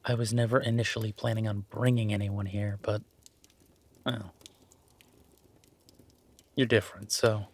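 A man playing a shy, gentlemanly boyfriend in an intimate romantic audio roleplay, speaking softly and haltingly with gentle teasing. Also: on the low side, little pitch movement. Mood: tender, hesitant. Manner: hesitant, soft-spoken, gently teasing.

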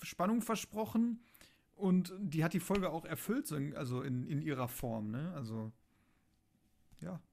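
Guy on a fan podcast, slightly high voice, hesitant, keeps restarting his sentences. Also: a little slow, vocal fry, darkish tone, slight background noise. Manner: hesitant, repeats himself while searching for words. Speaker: podcast co-host. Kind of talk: casual fan podcast chat.

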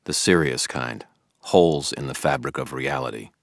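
A man playing a worn-out, short-tempered man with a very deep voice. He answers in curt, grumbling lines with a dry wryness, read close and dry. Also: normal speed, dry recording. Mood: irritated. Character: weary, short-tempered grown man, gruff and wry.

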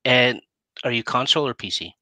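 A man's voice: medium pitch, a little fast, sharp tone, no echo. A middle-aged man chatting casually as an interview host in a rambling, self-interrupting way. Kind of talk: casual chat. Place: indoor room, video call. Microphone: headset.

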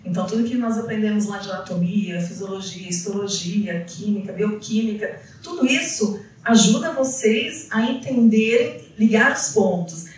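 A woman's voice: mid-range voice, moderate pace, few pauses, slight background noise. A woman from the São giving an informal, storytelling talk as an educator, acting out people's reactions as she goes. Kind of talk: informal spoken storytelling to an audience. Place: indoor event room, on a small stage with seated audience. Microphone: handheld microphone, recorded by a distant camera. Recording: echoey hall, picked up from far off.

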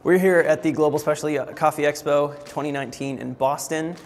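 A man's voice: fairly high pitch, steady tone, brisk, soft background music. A young man hosting a trade show walkthrough, talking casually and upbeat with a lot of fillers, in a large convention hall. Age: young adult. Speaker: coffee equipment show host.

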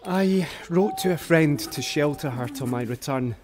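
Ardent Scottish poet acted by a man, highish voice. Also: a little slow, music in the background, lightly acted. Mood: earnest, proud. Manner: eloquent, emphatic, heartfelt. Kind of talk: radio drama letter reading.

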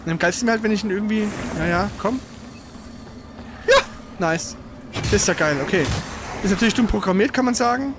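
Male let's player, casual and excitable, colloquial live game commentary over clearly audible game noise. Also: high-pitched, fairly quick, pauses often. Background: loud video game sound.

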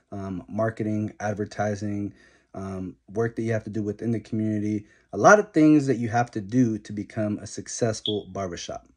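A young man speaks in a fairly low voice, laying out practical advice point by point in an earnest, plain way. He sounds close to a phone mic in a small room at home. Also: darkish tone. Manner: earnest, straightforward advice, list-like delivery. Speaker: barber and barbershop owner. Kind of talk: talking-head advice vlog. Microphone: phone camera held close.

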